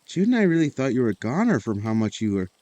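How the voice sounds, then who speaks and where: A protective young dude in an audio drama, husky voice, really animated. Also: male voice, mid-range voice, quick pace, dry recording. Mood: relieved, friendly.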